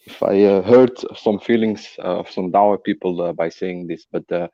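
A man's voice: low voice, moderate pace, warm tone, no echo, highs cut off. A man from Belgium, calling in with a question and hesitating often as he searches for words. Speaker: caller.

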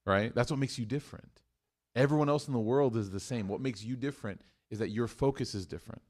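A man giving a live sermon to a room of listeners, chatty and joking, moving between loud emphatic bursts and hushed points. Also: mid-range voice, fast talker. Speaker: mosque speaker. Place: room with an audience.

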